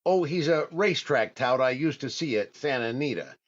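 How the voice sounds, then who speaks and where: A man's voice: medium pitch, lively intonation, a little fast, natural voice. An actor playing a fussy, hesitant, easily exasperated male comedian in a 1940s-style radio comedy. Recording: dull online radio-play recording.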